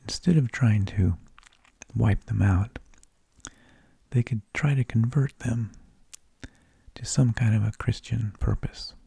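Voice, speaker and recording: A man narrating in a soft, breathy ASMR whisper, with slow, deliberate phrasing, close to the microphone. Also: fairly low pitch, dry recording. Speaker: ASMR host.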